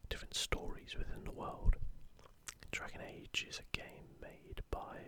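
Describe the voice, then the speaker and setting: An ASMR host speaking entirely in an unhurried, gentle whisper, presenting to viewers. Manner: whispering throughout. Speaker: video host.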